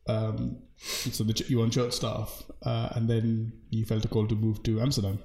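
A man's voice: fairly low pitch, completely flat delivery, normal speed, gravelly, mellow. A man hosting a live chat show in a joking, chatty way. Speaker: host. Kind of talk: casual chat. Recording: roomy echo, faint noise.

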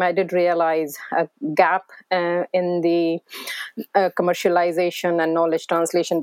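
A woman's voice: on the low side, a little mellow, no room sound. A middle-aged woman with an Indian accent, not a native English speaker, being interviewed in a hesitant manner with many fillers. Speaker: guest. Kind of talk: interview conversation.

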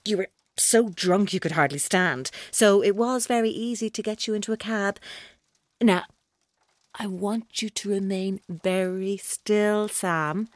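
An actress playing a controlling, taunting female captor in an audio drama, speaking in a deliberate, coaxing way. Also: mid-range voice, no echo, lightly acted. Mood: quietly threatening. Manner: deliberate, coaxing, coldly mocking. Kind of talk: audio drama acting, monologue-like.

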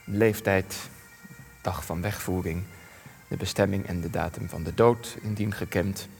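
Weary old war veteran, low-voiced, echoing. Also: male voice, fairly even intonation, vocal fry, a little mellow, soft background music. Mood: grave, halting. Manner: deliberate, rhetorical, halting with hesitations. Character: weary, defensive elderly war veteran being interviewed. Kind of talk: Dutch radio play acting. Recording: long reverberant hall.

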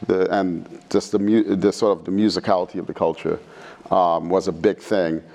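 Low-voiced man reminiscing informally. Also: fairly even intonation, normal speed, darkish tone. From Trinidad, later New York. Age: middle-aged. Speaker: guest speaker, physics professor. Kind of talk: conversational interview answers, reminiscing.

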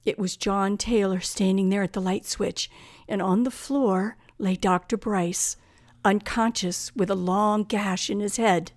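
A woman's voice: medium pitch, steady tone, unhurried, darkish tone, lightly acted. An actress playing a terrified young woman recounting a night of terror in an old-time radio thriller, with breathless, dramatic phrasing. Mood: hushed dread. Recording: vintage radio recording with music behind.

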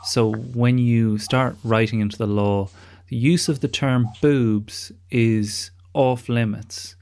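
A man's voice: mid-range voice, steady tone, takes it slowly, a little mellow. A man hosting a podcast interview in a wry, discursive, conversational way, with some casual swearing. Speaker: podcast host.

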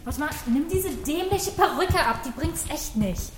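Woman playing bossy impatient handler, high voice, barking orders, noisy echoey room. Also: expressive, unhurried. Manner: commanding, blunt. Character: bossy, impatient woman managing a reluctant actor. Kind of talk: audio sketch dialogue. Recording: echoey room with clear background noise.

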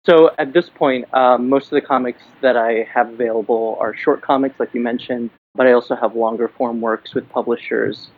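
A man's voice: medium pitch, steady tone, normal speed, few pauses. A man from Miami, a comic book writer, answering interview questions thoughtfully from a basement. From Miami, Florida. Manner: thoughtful, many fillers and restarts. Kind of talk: podcast interview. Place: building basement. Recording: dry, phone-like remote line.

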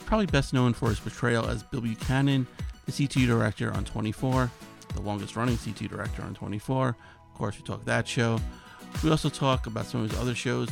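A man's voice: medium pitch, vocal fry. A man hosting a podcast interview in a casual, enthusiastic way while he is losing his voice. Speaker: podcast host.

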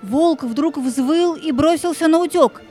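Female narrator for a children's tale: high, soft-toned, suspenseful, with faint music underneath. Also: unhurried. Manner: expressive, suspenseful. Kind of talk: dramatic scripted storytelling.

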